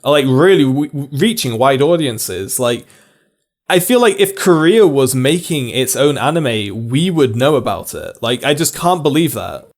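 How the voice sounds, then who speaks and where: A man's voice: mid-range voice, very animated, moderate pace. Playful, laughing male podcast host. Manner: playful, jokey, laughs often. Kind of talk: casual podcast chat.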